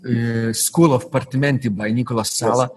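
A man hosting a podcast interview, warmly praising his guest while speaking haltingly with fillers. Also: medium pitch, steady tone, slight background noise. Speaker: podcast host. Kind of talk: interview host.

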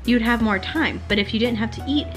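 A woman's voice: fairly high pitch, brisk, a bit bright, soft background music. A teenage young woman chatting casually and telling stories, with playful, teasing interjections. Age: teens. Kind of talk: casual chat, storytelling. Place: living room couch, indoors. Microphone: compact camera mic at about arm's length.

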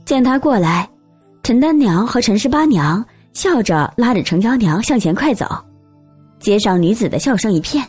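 A woman reading a novel aloud as an expressive, scripted audiobook narrator. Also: a little high, normal speed, husky, no room sound, faint music behind.

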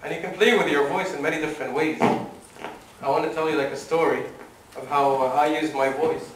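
A man's voice: a little high, normal speed, far from the mic, a little hiss or hum. Young man telling stories in an echoey hall. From Oman. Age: young adult. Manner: animated, laughs at his own stories, acts out quotes. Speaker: motivational speaker. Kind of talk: storytelling motivational talk. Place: wood-panelled lecture room with a seated audience. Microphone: room mic at a distance while he walks the stage.

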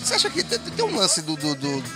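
A man's voice: fairly high pitch, huge pitch swings, a little fast. A man hosting a music show, interviewing a band in a playful, teasing, theatrical way. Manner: jokey, teasing, theatrical outbursts. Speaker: show host. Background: band music in a rehearsal space.

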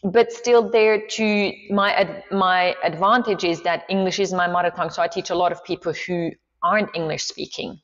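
A woman's voice: fairly low pitch, steady tone, moderate pace, hardly any pauses, highs cut off. A middle-aged woman from Switzerland, a movement coach talking enthusiastically as a podcast guest and thinking aloud with many fillers. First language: Swiss German. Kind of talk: casual interview talk.

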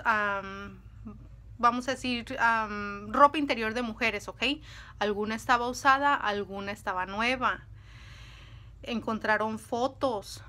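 A woman, telling a true crime story to the camera at home, animated and speaking straight to her viewers. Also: fairly high pitch. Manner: animated, talks with her hands, addresses viewers as friends. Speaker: storytime vlogger. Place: indoors at home, in front of a dresser. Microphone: camera at close range.

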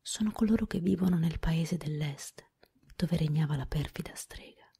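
A woman whispering as a gentle old good witch. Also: low voice, moderate pace, gravelly, breathy edge, exaggerated voice.

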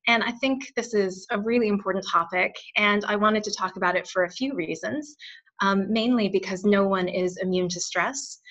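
American woman giving a webinar, bright voice, says um a lot, muffled call audio. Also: medium pitch, normal speed. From United States. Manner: frequent "um" fillers, friendly and earnest. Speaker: webinar presenter, archivist. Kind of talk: presenting a webinar. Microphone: video call. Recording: dull lo-fi web call audio.

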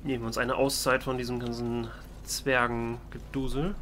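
A man's voice: mid-range voice, level tone, moderate pace, fairly bright tone, a little hiss or hum. A man doing casual, in-character let's play commentary, musing and thinking aloud. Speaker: let's player.

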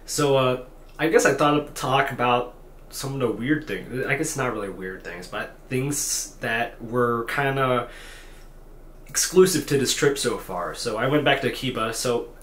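A man's voice: medium pitch, unhurried, fairly bright tone, a little hiss or hum. A tired man in his twenties talking unscripted to a camera in a small hotel room, rambling and self-deprecating with lots of fillers and restarts. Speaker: vlogger. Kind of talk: unscripted talking-head vlog. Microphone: camera at desk distance. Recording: echoey room with faint ambience.

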